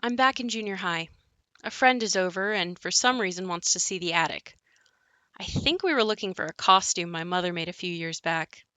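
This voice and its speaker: Uneasy grown daughter haunted by her childhood home, played by a woman; bright, forward voice, dry close sound, audio drama. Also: fairly low pitch, normal speed, no echo. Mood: uneasy, reflective. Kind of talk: audio play monologue and dialogue.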